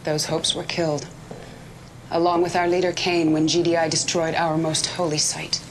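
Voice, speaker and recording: An actress playing a stern, zealous military commander in a video game cutscene, speaking with grave urgency. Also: female voice, sits low, level tone, moderate pace, slight background noise, lightly acted, rough recording. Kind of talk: dramatic game cutscene acting.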